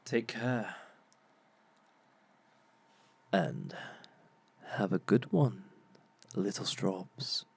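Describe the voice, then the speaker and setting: A man playing a suave, smug reformed villain who lectures as a relationship expert in a theatrical, measured, drawn-out way. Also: deep voice, animated, vocal fry, a little mellow, breathy edge, exaggerated voice. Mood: smug, playful. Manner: theatrical, measured, drawn-out phrasing with sly chuckles. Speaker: speaker leading a seminar session. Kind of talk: scripted in-character audio roleplay lecture.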